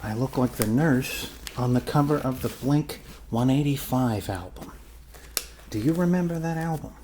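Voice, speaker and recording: A man's voice: mid-range voice, normal speed, mellow, slight background noise. A man improvising an ASMR roleplay as a goofy, reassuring doctor, rambling casually and joking as he goes.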